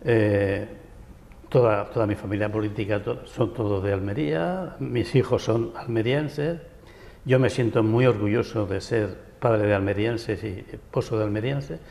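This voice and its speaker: A man's voice: lowish voice, measured pace, gravelly, a little mellow, in a large hall. A man from Barcelona with a Peninsular Spanish accent, a writer and architect giving long, winding answers as a guest in a TV interview. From Barcelona, Spain. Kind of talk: TV interview conversation. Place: local TV studio.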